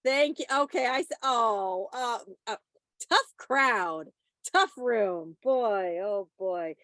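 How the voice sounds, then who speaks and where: A woman presents a quiz over a video call in a high, dry-sounding voice. She takes her time and teases the group like a mock-stern schoolteacher. The delivery is playful and addressed straight to her listeners. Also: lively intonation, takes it slowly, fairly bright tone, dry recording. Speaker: quiz presenter at a club meeting. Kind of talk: playful classroom-teacher patter to a group. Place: online video meeting from a separate room.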